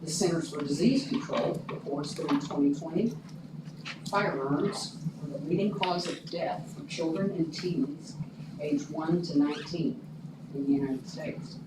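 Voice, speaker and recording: A woman's voice: sits low, a little slow. Woman reading a formal statement at a meeting. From Oklahoma. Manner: formal, reads a prepared statement. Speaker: public commenter. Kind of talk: prepared public statement. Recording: echoey room, distant mic, noisy.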